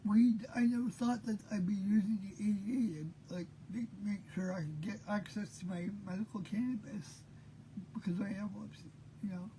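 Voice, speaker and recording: A man in his fifties hosting an unscripted monologue, talking haltingly with many pauses, tired and short of breath. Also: high voice, dark tone, slight background noise, rough recording. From Maine. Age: 50s. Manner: halting, full of pauses and fillers. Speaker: host.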